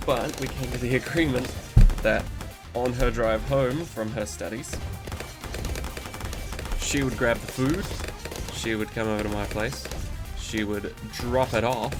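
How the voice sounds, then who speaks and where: Man, stuffy nose, nasal, rambling casual chat, swears, music underneath. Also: lowish voice. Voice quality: nasal, congested from a cold. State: recovering from a cold, stuffy nose. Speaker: gaming channel host. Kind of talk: casual commentary over gameplay.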